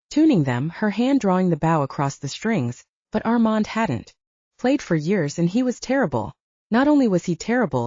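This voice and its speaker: A woman narrates an audiobook in the first person with a mellow, slightly low voice. Her intonation is lively and varied. The sound is very dry and a little dull. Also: no room sound.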